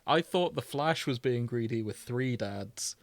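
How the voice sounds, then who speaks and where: A man's voice: mid-range voice, expressive, a little slow, gravelly, no echo. Wry man in casual banter. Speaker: podcast co-host. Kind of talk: casual comedic chat.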